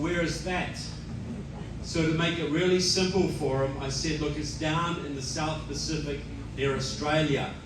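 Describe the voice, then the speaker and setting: Echoey New Zealand man at a rally. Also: fairly high pitch. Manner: joking, addresses the crowd directly. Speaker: guest speaker. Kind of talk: rally speech. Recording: echoey hall, miked from far off, noisy.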